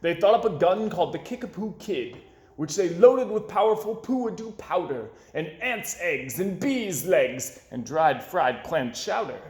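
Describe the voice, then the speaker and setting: A man reading a rhyming children's book aloud with an expressive, sing-song delivery, in an echoey room. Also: sits high, measured pace. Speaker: storyteller.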